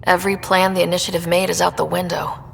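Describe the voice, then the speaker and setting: Young female expedition leader, practical and purposeful, lowish voice, brisk pace, reverberant sci-fi game sound. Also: level tone, vocal fry, soft background music. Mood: determined. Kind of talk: video game dialogue.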